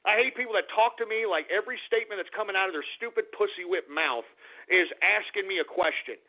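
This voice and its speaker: Ranting high-voiced male radio host. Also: fast talker. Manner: abrasive, ranting, insulting callers. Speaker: radio talk show host. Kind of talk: call-in radio talk show. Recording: phone-like narrow band.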